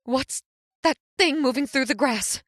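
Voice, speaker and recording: A woman's voice: sits high, a little slow, no room sound, clean studio sound. A woman playing a terrified, pleading young woman in an old-time radio horror drama, breathless and melodramatic. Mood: alarmed.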